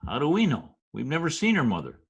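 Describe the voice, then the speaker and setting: A man's voice: on the high side, huge pitch swings, fast, creaky, breathy edge, highs cut off, full character voice. A man playing a wry, skeptical Broadway wise guy in a 1940s-style radio drama, wisecracking in stiffly formal slang. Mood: surprised, probing. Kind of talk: old-time radio drama, present-tense slangy narration and dialogue. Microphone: video call recording.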